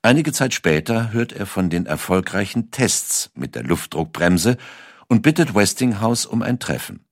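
A man reading scripted documentary narration in a measured, dramatic storytelling manner. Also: fairly low pitch, very animated, dry recording, clean studio sound.

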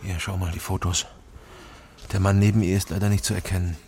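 Male detective, low and creaky, terse first-person noir narration for a radio crime play, some background noise. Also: little pitch movement, a little fast, a little mellow. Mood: dry, wary. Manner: terse, matter-of-fact. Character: dry male East Berlin private detective, narrating his case.

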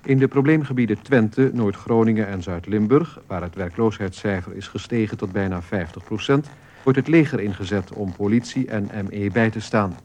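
An actor playing a sober male radio newsreader, reading a scripted bulletin in a formal, measured way. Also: fairly low pitch, a little mellow, lightly acted.

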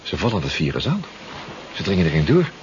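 A man's voice: deep voice, huge pitch swings, fairly quick. A man playing an anxious, hesitant seafaring comrade in a 1970s radio drama, often repeating himself. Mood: wondering, doubtful. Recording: muffled 1970s radio recording, background noise.

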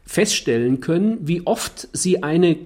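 A man giving a technical explanation in an interview as an expert, halting now and then to find his wording. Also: fairly high pitch, animated, a little mellow.

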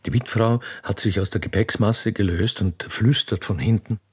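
A man with an Austrian accent reads stage directions in a dramatic reading, with a husky, gravelly voice and a dry, deliberate delivery. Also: fairly low pitch. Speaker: reader performing a play with all its parts.

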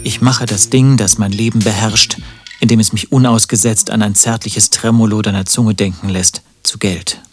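Wry literary storyteller, lovelorn, deadpan, slightly deep, rough-edged, radio drama. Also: male voice, dry recording. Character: wry lovelorn male antihero telling his own grotesque story. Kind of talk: radio drama narration and dialogue.

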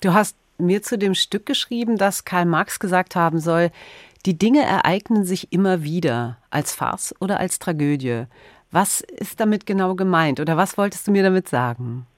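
Low-pitched woman interviewing on radio. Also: lively intonation, normal speed, no room sound, studio quality. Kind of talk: radio interview, prepared introduction and questions.

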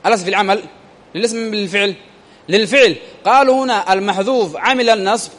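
A man's voice: high voice, quick pace, fairly bright tone, long reverb tail. A man teaching a grammar lesson, repeating phrases and quizzing his listeners with rhetorical questions.

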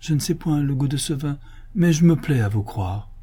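Give me a man playing an eager, devoted servant in a storybook, deferential and urging, with a husky voice. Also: a little high, expressive, normal speed, mellow. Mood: piteous. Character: devoted, eager male majordomo serving his master.

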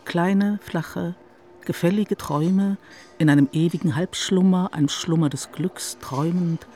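A man reads literary narration for a radio play. His voice sits somewhat high for a man. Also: unhurried, warm tone, soft background music.

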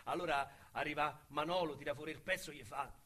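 An actor with a Roman accent playing a boastful small-time male crook in a radio drama, talking in a colorful, slangy way that swings between loud bragging and hushed menace. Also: on the high side, brisk, husky. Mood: menacing. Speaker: narrator-protagonist.

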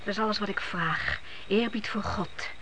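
A woman's voice: mid-range voice, normal speed, pushed and bright. An actress playing an exhausted, devout, loving middle-aged mother in a Dutch radio play, pleading and reverent. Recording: noisy old radio drama recording.

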